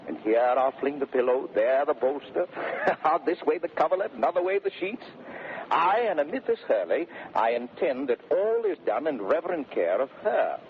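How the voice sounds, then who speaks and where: Domineering Shakespearean husband, wildly swooping voice. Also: male voice, on the high side, big pitch swings, moderate pace. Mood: smug scheming. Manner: theatrical declaiming, swinging between bellowing and smooth mock-sweetness. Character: domineering, blustering Shakespearean husband bullying his servants and bride. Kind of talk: 1930s radio Shakespeare acting. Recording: noisy, muffled 1930s radio recording.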